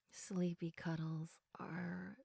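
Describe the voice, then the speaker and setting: A woman playing a sleepy, affectionate girlfriend in an intimate sleep-aid roleplay, speaking softly and reassuringly with a tendency toward giggles and whispers. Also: lowish voice, vocal fry, no echo, clean studio sound, exaggerated voice. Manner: soft, unhurried, often giggly, dropping into whispers. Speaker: audio roleplay performer.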